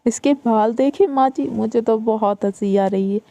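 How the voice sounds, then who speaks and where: A woman's voice: fairly high pitch, slow, creaky, dark tone, no echo. A woman voicing a sly elder daughter-in-law who taunts and gossips, in a raised put-on voice. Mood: mocking. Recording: dull lo-fi recording.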